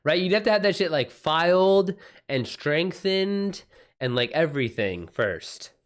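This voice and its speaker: A man's voice: a little high, big pitch swings, measured pace. A man chatting casually as a live streamer, in a slangy, profane and emphatic way. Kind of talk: casual livestream chat.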